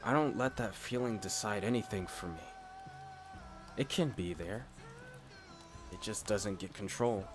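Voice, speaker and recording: A man playing a blunt, steady, reassuring furry character in a scripted ASMR roleplay, speaking in short, deliberate phrases. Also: on the low side. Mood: firm, reassuring. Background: music.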